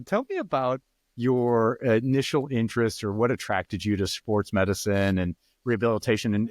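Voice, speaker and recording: A man hosting a podcast interview, friendly and relaxed, often pausing with "uh" fillers. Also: fairly low pitch, no echo, clean studio sound. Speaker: podcast host.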